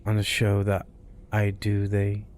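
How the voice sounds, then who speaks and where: Man, spooky storyteller, hushed, whispering, slow-paced. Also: lowish voice, completely flat delivery, slight background noise. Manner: hushed, often dropping to a whisper. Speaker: storyteller host. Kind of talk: reading spooky stories aloud.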